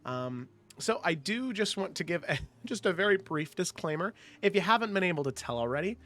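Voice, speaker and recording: A man with a congested, slightly nasal voice, opening a tabletop game session as game master in a relaxed way, with many ums. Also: high-pitched, a little fast. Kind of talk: casual show opening.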